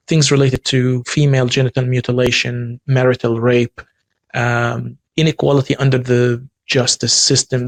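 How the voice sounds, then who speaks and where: A man's voice: medium pitch, no echo. A man from Egypt with an Egyptian accent, a native Arabic speaker, talking as an interview guest with frequent restarts. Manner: frequent restarts and repeated words, earnest. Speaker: guest, human rights activist. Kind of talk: interview conversation.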